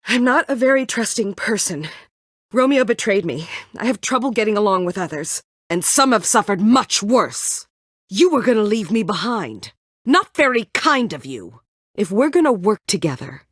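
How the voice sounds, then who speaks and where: A woman's voice: fairly low pitch, husky, no room sound, full character voice. A woman playing a bitter, guarded former admin and ex-prisoner in a video game, curt and dryly sarcastic. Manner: curt, dry sarcasm, brooding.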